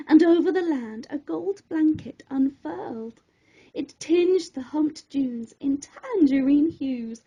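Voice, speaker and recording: A woman reading a rhyming bedtime story in an expressive, theatrical way, on a slightly dull recording. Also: high-pitched. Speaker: storybook reader.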